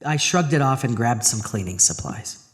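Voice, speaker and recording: A man's voice: mid-range voice, expressive, moderate pace, long reverb tail, natural voice. Actor as haunted man narrating a horror tale. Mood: uneasy. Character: Arthur, troubled married man haunted by a drain. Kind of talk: first-person horror audio drama narration.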